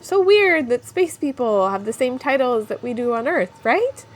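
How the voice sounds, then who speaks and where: A woman's voice: high voice, sing-song, moderate pace. A woman playing a frantic, chatty young woman on the run in an audio drama, with a rambling, confiding manner. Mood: nervous, chatty. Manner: rambling, informal, breathless asides to listeners. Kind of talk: first-person audio drama, confiding podcast monologue.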